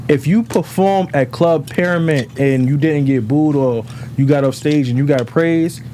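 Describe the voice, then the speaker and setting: A man's voice: on the high side, moderate pace, warm tone, slight background noise. A man hosting a casual chat, using profane slang and short affirming replies. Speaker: podcast host.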